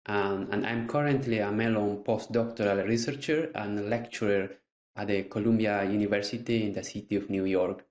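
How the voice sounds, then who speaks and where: Man, Peruvian accent, Quechua native speaker, halting with lots of fillers, fairly low voice. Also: steady tone, darkish tone, roomy sound. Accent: Peruvian Spanish. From southern highland Andes of Peru. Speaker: guest historian. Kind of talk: podcast interview.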